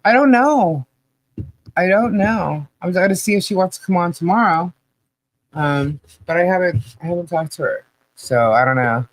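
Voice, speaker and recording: A woman's voice: fairly low pitch, expressive, moderate pace, poor audio. A woman hosting a casual livestream podcast, sounding nasal today and rambling with restarts and laughs.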